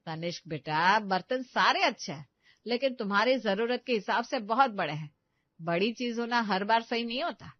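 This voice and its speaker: A bossy middle-aged mother-in-law from the village, scolding and lecturing in a raised, fairly high voice, as a woman puts her on. The recording is dull and lo-fi, dry and close. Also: lively intonation, moderate pace, dry recording. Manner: scolding, instructive, exclaiming.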